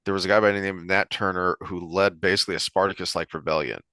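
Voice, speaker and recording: Low-voiced man in a dry, close recording. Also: fairly even intonation, fast talker, bright, forceful tone, clear, resonant tone, dry recording. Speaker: podcast host discussing books. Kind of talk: conversational analytical discussion.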